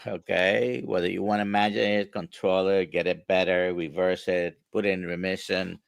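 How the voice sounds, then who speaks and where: A man's voice: low voice, little pitch movement, normal speed, creaky, clear, resonant tone, dry recording. A male doctor from Puerto Rico, explaining diet to an interviewer in an emphatic, conversational way with rhetorical questions.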